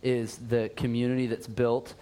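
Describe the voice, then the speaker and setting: A male podcast co-host in relaxed, upbeat chat. He talks in loose, rambling runs with frequent fillers and restarts. His voice sits at an ordinary middle pitch. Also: normal speed. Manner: chatty, filler-heavy, upbeat.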